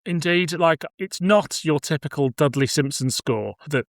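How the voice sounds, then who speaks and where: A man's voice: a little high, animated, a little slow, no echo, studio quality. A man hosting a podcast in casual discussion, conversational and thinking aloud as he goes. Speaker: podcast host.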